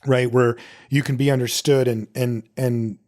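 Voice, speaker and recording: A man's voice: mid-range voice, little pitch movement, unhurried, a little mellow. A middle-aged American man hosting a podcast, talking casually and conversationally as he answers questions and tells personal stories. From United States. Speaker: podcast host. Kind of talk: casual solo talk answering listener questions.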